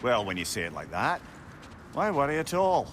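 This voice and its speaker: A man's voice: mid-range voice, normal speed. An actor playing a wry, sarcastic old male advisor who trades dry quips. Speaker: video game character. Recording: game audio with steady background noise.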